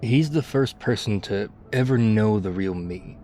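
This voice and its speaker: A man acting as a battle-worn ex-soldier in an apocalyptic ASMR roleplay, with a dark, mellow, lowish voice. He confides in a halting, reflective, wistful way, close and intimate. Soft music plays faintly underneath. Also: lively intonation. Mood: regretful, sad.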